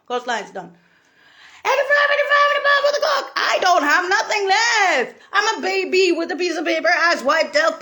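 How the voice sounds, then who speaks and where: High, lively woman rambling. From Italy. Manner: profane, laughing, bursts into shouts. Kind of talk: rambling monologue.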